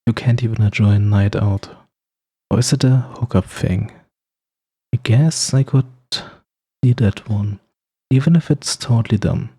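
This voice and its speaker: A man's voice: on the low side, a little slow, long pauses, mellow, slightly rough. A man playing a teasing, snarky supervillain with firm standards in an ASMR roleplay, speaking straight to the listener with sarcastic, playful banter. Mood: exasperated, reassuring.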